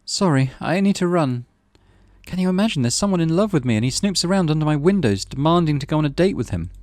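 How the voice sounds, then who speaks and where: A man voicing a gentle, chatty teenage girl who speaks with pity in a visual novel. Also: fairly high pitch, animated, quick pace, dry recording, voice pushed up, lightly acted. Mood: chatty, teasing.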